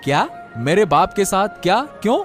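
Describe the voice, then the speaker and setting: A man's voice: high-pitched, expressive, normal speed, faint music behind. A man as an earnest, principled fish seller. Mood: indignant. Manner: earnest, indignant. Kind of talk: cartoon storytelling.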